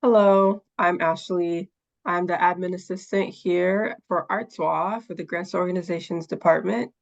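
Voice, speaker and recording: An easygoing woman introduces herself on a video call, speaking casually with a few ums. Her voice sits somewhat low. The call audio is a little dull and muffled. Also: steady tone, moderate pace, vocal fry. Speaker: administrative assistant. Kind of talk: webinar self-introduction. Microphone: computer mic on a video call.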